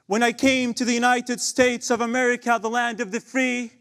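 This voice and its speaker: A man's voice: extremely high pitch, flat delivery, normal speed, fairly bright tone, smooth. A young man from Palestine, performing impassioned spoken word poetry with rhetorical questions and repeated refrains. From Palestine, West Bank.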